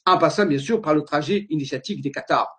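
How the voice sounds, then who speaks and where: A man's voice: a little high, fast, a bit bright. A man giving an earnest slide-show lecture, wandering from point to point and often repeating his words as he searches for them.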